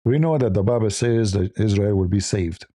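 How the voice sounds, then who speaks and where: A man's voice: on the low side, normal speed, mellow, dry recording, studio quality. A man who speaks English as a second language, giving combative, informal religious commentary full of repeated rhetorical questions. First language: not English.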